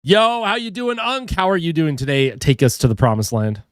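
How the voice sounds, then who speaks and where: A man's voice: fairly high pitch, moderate pace, no room sound, studio quality. A young man with a head cold and a stuffed-up voice, chatting casually on a hockey live stream, greeting viewers and reading their comments. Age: young adult. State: a little tired.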